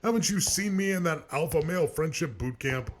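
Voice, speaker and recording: A man playing a vain, cowardly, excitable cartoon character with a theatrical, whiny and boastful delivery. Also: a little high, a little fast. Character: vain, cowardly, excitable man who insults his rival. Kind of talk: comedic cartoon voice acting.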